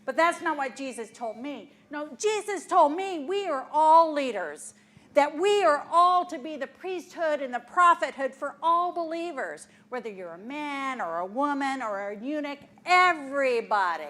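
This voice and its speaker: A woman's voice: sits high, animated, measured pace, fairly bright tone. A woman playing Mary Magdalene, a defiant, outspoken disciple of Jesus, blunt and indignant in a church dramatic reading.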